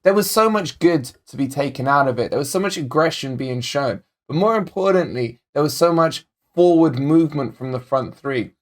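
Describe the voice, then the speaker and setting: A man hosting a football fan channel, talking casually and directly to viewers in a match analysis, his voice still a little croaky. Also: fairly high pitch, lively intonation, normal speed, few pauses. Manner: chatty, direct, addresses viewers as guys. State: slightly croaky voice. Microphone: desk microphone.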